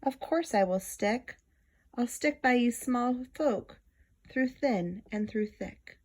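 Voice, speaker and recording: A woman voicing a gentle male elephant in a children's storybook, earnest and pleading. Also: on the high side, animated, slow, a little hiss or hum, pitched above the performer's own voice. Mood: loyal, reassuring. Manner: earnest, emphatic, imploring.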